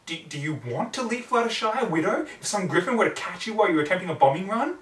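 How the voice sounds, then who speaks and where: A man's voice: high voice, expressive, fast, a bit bright, roomy sound, distant mic, voice pushed up. A man voicing an anxious, easily agitated female pony in a dramatic fan story reading. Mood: flustered, upset. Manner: stammering, pleading, agitated.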